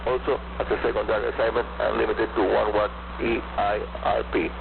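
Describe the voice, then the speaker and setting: A man in his seventies with a Cuban accent and native Spanish, presenting scripted radio news deliberately and emphatically as host, repeating key phrases. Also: fairly low pitch, little pitch movement, gravelly. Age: 70s. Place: radio studio, heard over shortwave. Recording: noisy, narrow-band shortwave radio reception with hum.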